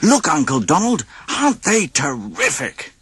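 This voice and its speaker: A man acts an eager little cartoon duck boy. The voice is lifted high, husky and very lively, with a pleading, excitable manner. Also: measured pace, no room sound, exaggerated voice. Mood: excited.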